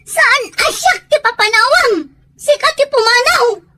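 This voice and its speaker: A woman's voice: very high voice, expressive, a little slow, noisy background. A woman playing a distressed, scorned young wife and mother in an emotional radio-style drama. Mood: furious, tearful.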